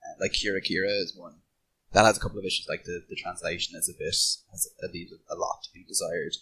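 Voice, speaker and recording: A man's voice: sits low, fairly even intonation, fast talker, pushed and bright, a little hiss or hum, low-quality recording. A man in a casual podcast discussion, thinking aloud in a hesitant way as he gives his opinions.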